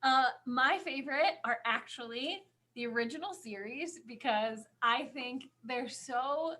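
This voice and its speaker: High-pitched, enthusiastic woman chatting. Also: measured pace, sharp tone. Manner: enthusiastic, gushing, emphatic. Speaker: podcast co-host. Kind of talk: casual fan podcast chat.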